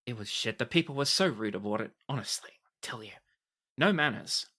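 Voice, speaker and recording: Game master as chatty, animated halfling woman alchemist. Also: mid-range voice, brisk. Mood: chatty, grumbling. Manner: chatty, exclaiming, folksy. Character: halfling woman alchemist, blunt, chatty, kindly, freshly resurrected.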